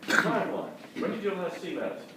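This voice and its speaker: A woman's voice: on the low side, very animated, fast talker. A woman in a staged reading playing a cool, terse male detective with a clipped, businesslike way of questioning. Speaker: actor in reader's theatre. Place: small theatre stage before an audience. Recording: echoey theatre, distant mic, faint noise.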